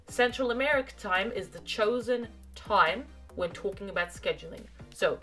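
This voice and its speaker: A woman's voice: medium pitch, fairly bright tone. A woman delivering scripted narration as the narrator of an audio series, in a formal storytelling manner.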